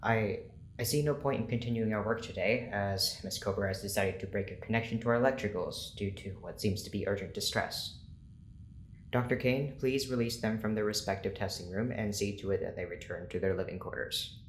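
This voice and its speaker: A man plays a strict male scientist in an audio drama, supervising with clipped formality. His voice sits fairly low in a very roomy space, with a faint layer of noise. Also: a little fast, close to an everyday voice. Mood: cold, formal. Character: stern, formal male scientist running an experiment. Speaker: experiment proctor.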